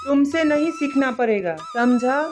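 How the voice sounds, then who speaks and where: Woman doing a bossy rich wife in a cartoon, very high voice, scolding, snooty, music behind. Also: moderate pace, a little mellow, natural voice. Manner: scolding, haughty. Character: domineering bad-tempered rich merchant's wife. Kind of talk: animated moral story dialogue.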